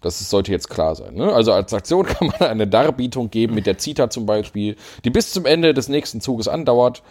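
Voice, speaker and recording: A man's voice: medium pitch, expressive, fairly quick, few pauses, darkish tone. A man co-hosting a podcast, chatting casually about tabletop game rules, laughing often and using many fillers. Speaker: podcast co-host.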